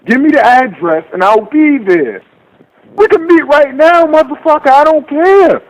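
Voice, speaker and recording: A man's voice: extremely high pitch, animated, normal speed, muffled recording. A man playing a cocky, combative braggart who taunts and swears, in soundboard clips on a prank call. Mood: taunting, combative. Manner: taunting, profane, challenging.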